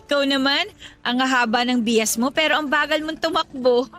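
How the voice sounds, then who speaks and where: A woman's voice: high voice, normal speed, a bit bright. A woman playing a friendly, teasing young working mother in conversational radio drama dialogue. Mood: playful.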